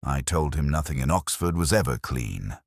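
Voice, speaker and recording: A man narrating a scripted first-person detective mystery in a measured, solemn, literary manner. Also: extremely low pitch, expressive, gravelly, no room sound, clean studio sound.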